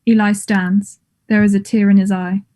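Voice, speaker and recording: A woman with a mellow, mid-pitched voice narrates a play script. She reads out the stage directions for a table read. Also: level tone, moderate pace.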